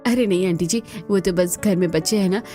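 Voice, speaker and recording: A woman voicing the women of a cartoon household with an expressive, theatrical delivery. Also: fairly low pitch, a little fast, soft background music, lightly acted. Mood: pleased. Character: women of a cartoon household, scheming young daughter-in-law and exasperated elders. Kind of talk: dramatised cartoon dialogue.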